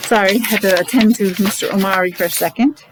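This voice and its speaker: A woman with a mid-pitched voice reads to young children like a classroom teacher. She breaks the reading up with short, simple explanations and repeats herself for clarity. There is faint noise behind her. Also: quick pace. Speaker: preschool or special-needs teacher. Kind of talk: read-aloud to young children with explanatory asides.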